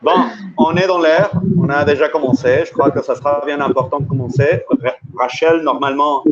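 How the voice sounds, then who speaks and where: A man's voice: a little high, fairly even intonation, brisk, heavy background noise. Hesitant man hosting a video call. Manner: hesitant, many fillers and restarts. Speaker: host of an online guide meeting. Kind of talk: casual group video call. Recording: dull lo-fi video call audio.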